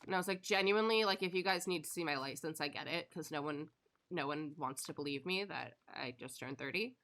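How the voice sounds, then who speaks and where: Bright, chatty woman streamer in her thirties. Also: low voice, fairly quick. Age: 30s. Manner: chatty storyteller, swears casually, laughs often. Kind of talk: casual chat while gaming.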